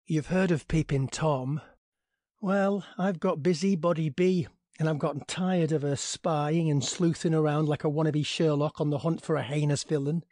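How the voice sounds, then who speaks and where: An actor playing an exasperated young bachelor, griping sarcastically about a neighbour in an audio drama monologue. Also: male voice, on the high side, steady tone, moderate pace, darkish tone, no room sound, studio quality, close to an everyday voice. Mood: irritated, sardonic. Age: young adult. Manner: sardonic, griping.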